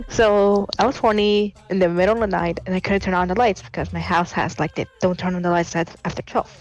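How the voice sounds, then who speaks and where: A woman's voice: on the low side, steady tone, fairly quick, poor audio. A woman in her late teens calling in to tell an embarrassing story, giggling and rambling with many restarts. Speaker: caller. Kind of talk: casual confession story.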